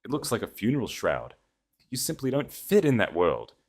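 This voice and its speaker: A man's voice: mid-range voice, wildly swooping pitch, moderate pace, a little mellow, voice pushed up. A man voicing a dismissive, ambitious, snobbish husband with casual contempt in an audiobook. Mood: condescending.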